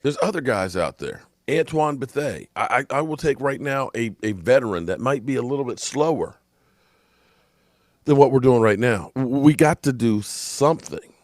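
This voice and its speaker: A man giving opinionated sports commentary in an emphatic, frustrated rant, his voice hoarse and fading. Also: medium pitch, expressive, moderate pace, no room sound, studio quality. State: hoarse, coughing.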